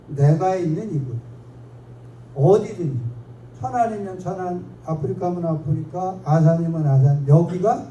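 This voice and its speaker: A man's voice: high voice, warm tone. A man giving a local history lecture in a chatty, digressive, self-mocking way, pointing at slides as he goes. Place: lecture hall. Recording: echoey hall from far off, faint noise.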